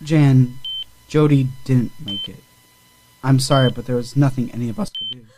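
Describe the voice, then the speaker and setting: A man's voice: medium pitch, fairly even intonation, normal speed, noisy background, natural voice. An actor playing an anxious, protective male friend in a horror audio drama, speaking in an urgent, coaxing, emotional way. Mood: gentle, somber. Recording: music behind the voice.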